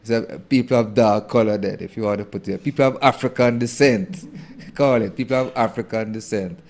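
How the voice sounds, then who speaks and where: A man, a theologian answering an interview question in a thoughtful, halting way with many fillers. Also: lowish voice, a little mellow. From Jamaica.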